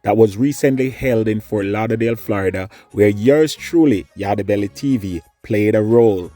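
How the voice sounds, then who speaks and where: A man's voice: fairly low pitch, normal speed, warm tone. A man narrating a promotional introduction as a channel host. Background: music under voice.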